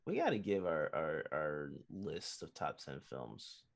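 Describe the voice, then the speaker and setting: Slow, low-voiced man co-hosting. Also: huge pitch swings, gravelly. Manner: brief, agreeable listener. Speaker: podcast co-host. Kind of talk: casual movie discussion.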